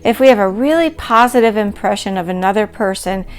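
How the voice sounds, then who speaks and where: A middle-aged woman teaching a recorded lecture, explaining concepts in a clear, measured way. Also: medium pitch. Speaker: instructor.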